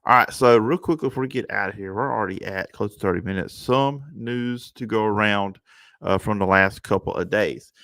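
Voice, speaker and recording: A man's voice: on the low side, normal speed, creaky, fairly bright tone. A man hosting a casual sports talk show. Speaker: sports podcast host.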